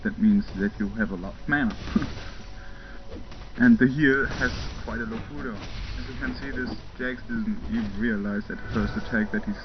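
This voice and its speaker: A man's voice: lowish voice, normal speed, noisy background. German man rambling over game footage. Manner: rambling, many fillers, chuckles to himself. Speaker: gameplay commentator. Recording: muffled home mic with game sounds.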